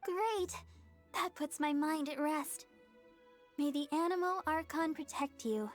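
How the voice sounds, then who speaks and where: High-voiced, caring young church maiden. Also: female voice, measured pace, soft background music. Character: sweet, caring, devout young church woman. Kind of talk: anime video game voice acting.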